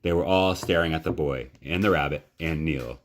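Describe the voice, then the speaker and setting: A man reading a children's story aloud in a measured, dramatic, expressive narration. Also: extremely low pitch.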